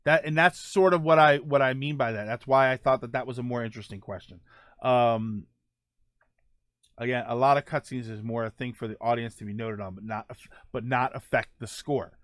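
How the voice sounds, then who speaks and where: Male streamer, halting talk, dry close sound. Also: mid-range voice, fairly even intonation, a bit bright, no echo. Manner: halting, circles back and rephrases points. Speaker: host of a gaming livestream. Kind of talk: casual opinion talk answering viewer comments.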